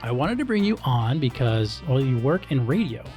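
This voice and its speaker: A man's voice: mid-range voice, sing-song, normal speed, darkish tone, soft background music. A man hosting an interview show in a casual, conversational way. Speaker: show host.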